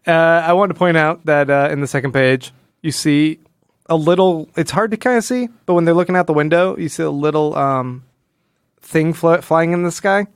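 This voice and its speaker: Male podcast co-host, slightly higher-pitched, clean smooth voice, dry close sound, casual and rambling explainer. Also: moderate pace, dry recording. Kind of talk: casual comic book discussion.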